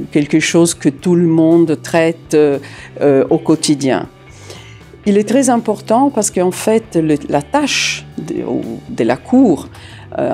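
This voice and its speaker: A middle-aged woman with an Italian accent, an interpreter answering interview questions with many hesitant filler pauses, seated in an office. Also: low-pitched, warm tone. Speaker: court interpreter. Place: office room with large window.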